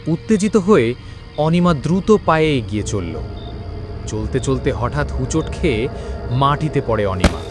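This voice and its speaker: Lively, dramatic male horror narrator. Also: mid-range voice, measured pace, pauses often, music in the background. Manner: dramatic, suspenseful delivery. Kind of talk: scripted dramatic horror storytelling.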